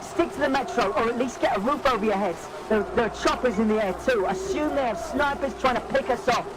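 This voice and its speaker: A woman's voice: fairly high pitch, fairly quick, lightly acted. A woman playing a defiant rebel broadcasting to rioters, urgent and impassioned, in an audio drama. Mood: urgent warning. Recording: very noisy street broadcast with vehicles.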